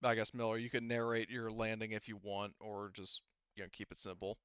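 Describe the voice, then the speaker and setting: A man acting as game master in a casual tabletop roleplaying session, narrating and organizing in a halting way as he thinks aloud. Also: fairly low pitch, completely flat delivery, fairly bright tone, clear, resonant tone, no room sound, highs cut off.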